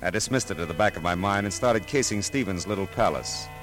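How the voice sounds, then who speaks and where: Private detective, male, radio noir: low voice, animated contour, wry hesitant asides, music underneath. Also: brisk. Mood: brooding narration. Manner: deadpan, hesitant asides, dry wit. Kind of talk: classic radio detective drama with first-person narration.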